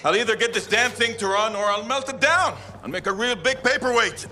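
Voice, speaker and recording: An actor playing a stubborn, hot-headed veteran fighter and tinkerer in a video game, gruff, exasperated and emphatic. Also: male voice, very high voice, a little fast, pushed and bright, heavily acted. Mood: frustrated, angry. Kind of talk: dramatic video game cutscene acting.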